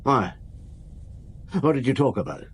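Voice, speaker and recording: Chill detective chief, short pointed questions, dry jokes, mid voice that goes up and down a lot. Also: male voice, mid-range voice, big pitch swings, unhurried, a little hiss or hum. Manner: terse, questioning, dry humour. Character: calm, probing middle-aged police chief inspector. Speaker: chief inspector. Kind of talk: radio drama acting.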